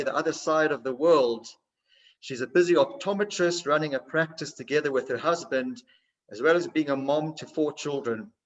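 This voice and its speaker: A man hosting for a synagogue gives a courteous, halting introduction to a guest speaker, full of uhs. His slightly higher voice sits far from the mic. The audio sounds dull and muffled. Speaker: shul host introducing the speaker. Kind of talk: formal introduction. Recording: distant, dull video-call audio.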